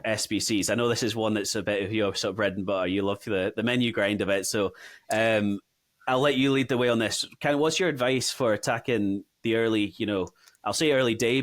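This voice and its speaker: Low-voiced British podcast host, half Scottish accent. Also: male voice, dry recording. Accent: half English, half Scottish. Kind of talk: casual gaming podcast discussion.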